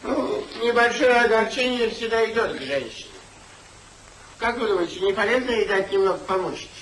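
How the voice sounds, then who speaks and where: An actor playing a testy, wounded old husband in a 1950s radio comedy of manners, grumbling with bitter theatrical sarcasm. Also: male voice, sits high, lively intonation, a little fast, a bit bright, a little hiss or hum, poor audio. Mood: sulky, wavering. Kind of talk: 1950s Soviet radio play acting.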